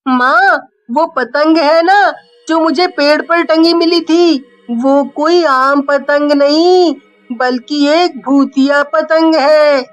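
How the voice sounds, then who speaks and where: A woman voicing a poor, caring young schoolboy in an animated storybook tale, speaking in an earnest, childlike way. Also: high voice, slow pace. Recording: music behind the voice.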